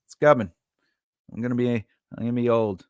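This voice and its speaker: A man's voice: mid-range voice, steady tone, brisk, smooth, no echo, studio quality. A man in his forties, a writing teacher and editor, chatting casually and rambling with laughs during a live Q&A. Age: 40s.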